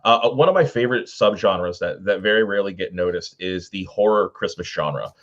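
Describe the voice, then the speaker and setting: A man's voice: deep voice, brisk. A man giving casual pop-culture commentary as a panelist, chatty and emphatic, in a slightly dull recording.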